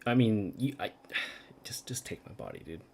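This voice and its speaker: A woman's voice: a bass voice, sing-song, moderate pace, vocal fry, a little mellow, a little hiss or hum. A woman game streamer giving casual, sweary live reactions in a blunt, teasing, exclamatory way.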